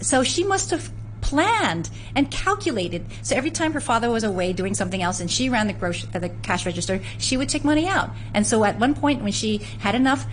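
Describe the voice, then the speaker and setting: A woman's voice: a little high, expressive, brisk, a little hiss or hum, poor audio. A woman from San Francisco, a guest in an interview, telling family history in an earnest, storytelling way with frequent restarts. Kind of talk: conversational interview.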